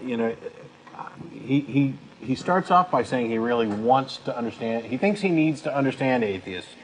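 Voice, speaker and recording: A man's voice: medium pitch, normal speed, low-quality recording. Male co-host commenting, faint noise behind. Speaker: talk show co-host. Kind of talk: conversational commentary. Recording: steady background noise.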